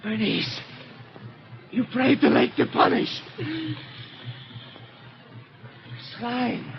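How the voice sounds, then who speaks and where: A woman's voice: on the high side, expressive, extremely slow, vocal fry, over music, full character voice. A woman playing a terrified doomed soul dragged into a haunted lake, wailing melodramatically in old-time radio horror style. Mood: anguished dying. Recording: noisy, muffled vintage radio recording.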